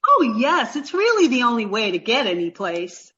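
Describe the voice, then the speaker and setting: Scatterbrained young woman, played by an actress: animated, naive, blunt, vintage radio comedy, slightly dull sound. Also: fairly high pitch, moderate pace. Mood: naive, chatty. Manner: naive, matter-of-fact. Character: dim-witted, cheerful, blunt young woman roommate. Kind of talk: 1940s radio comedy acting.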